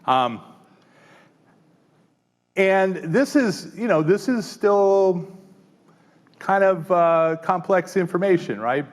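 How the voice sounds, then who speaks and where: A man presenting a live teaching workshop, talking casually with frequent fillers and quick "right?" check-ins to the room. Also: fairly high pitch. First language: English. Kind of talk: live tech workshop demo.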